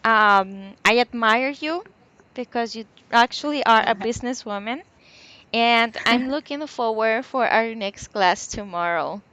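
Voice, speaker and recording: A woman English teacher speaks in a slow, unhurried way during an online lesson. She sounds encouraging and supportive, and her voice sits on the higher side. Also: sharp tone, dry recording.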